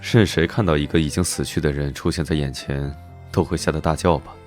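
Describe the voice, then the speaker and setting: An actor playing a cool, aloof investigator in an animated drama, dryly dismissive in manner. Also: male voice, very deep voice, brisk, faint music behind. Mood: dry, scornful. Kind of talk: Chinese animated drama voice acting.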